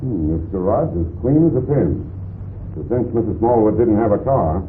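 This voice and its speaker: An actor playing a keen, plain-spoken police officer, the captain's observant sidekick in an old-time radio crime drama. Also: male voice, fairly low pitch, lively intonation, normal speed, natural voice. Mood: alert. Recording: tinny, noisy vintage radio recording.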